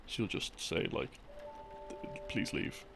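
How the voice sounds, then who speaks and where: A man giving a rambling, intimate, casual explanation of lore, with wry, hesitant asides. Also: slow. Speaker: audio creator narrating as a boyfriend explaining game lore. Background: soft game theme music.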